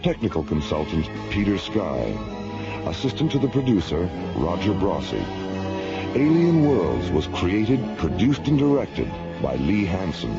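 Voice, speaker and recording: A male announcer reads scripted radio credits. His voice is fairly low with lively swings in pitch, over loud music. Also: a little slow. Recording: dull lo-fi, loud music behind.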